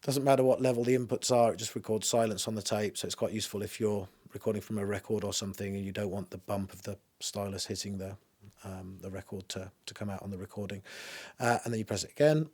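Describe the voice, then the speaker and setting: A man's voice: fairly low pitch, fairly even intonation, fast talker, darkish tone, husky. A man giving an informal, matter-of-fact spoken demonstration of audio equipment, explaining as he goes. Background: music played through a tape deck.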